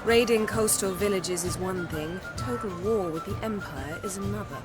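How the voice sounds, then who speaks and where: An actress playing a composed, authoritative sorceress who speaks in a cool, measured, diplomatic way in a game cutscene. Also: female voice, mid-range voice, natural voice. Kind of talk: video game cutscene acting. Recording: game cutscene with loud score. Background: loud orchestral music.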